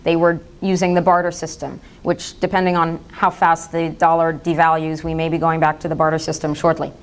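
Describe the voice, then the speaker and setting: Middle-aged woman speaker at a public talk, direct and impassioned, a smooth, slightly low voice. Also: flat delivery, fairly quick. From United States. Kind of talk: public lecture.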